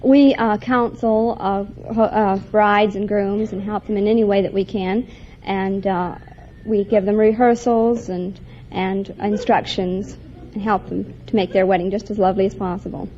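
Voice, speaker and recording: A woman's voice: mid-range voice, steady tone, normal speed, warm tone. An American woman from Montana politely and agreeably answering a host's questions as a contestant. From Montana, United States. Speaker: quiz show contestant, wedding director. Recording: dull, faintly noisy vintage radio recording.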